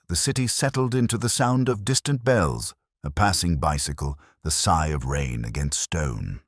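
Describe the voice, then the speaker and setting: Very deep-voiced man narrating a mystery. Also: dry recording, clean studio sound. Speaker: audio drama narrator. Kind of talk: scripted first-person narration of a detective mystery.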